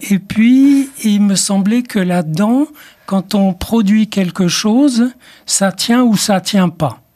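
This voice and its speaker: A man's voice: high voice, a little mellow. An older man, a psychoanalyst with Arabic as his first language, answering radio interview questions in a reflective, hesitant way, from Morocco. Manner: slow, reflective, with hesitant restarts. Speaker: psychoanalyst guest.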